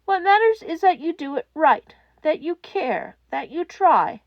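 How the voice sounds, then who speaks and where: A warm, sincere radio man, acted by a woman in an audiobook. He sounds gentle and reassuring, with very animated intonation. The recording is close and dry, a touch dull. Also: very high voice, a little slow, dry recording, voice pushed up, full character voice. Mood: honest, encouraging. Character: warm, sincere adult male radio host consoling a caller. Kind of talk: audiobook character acting.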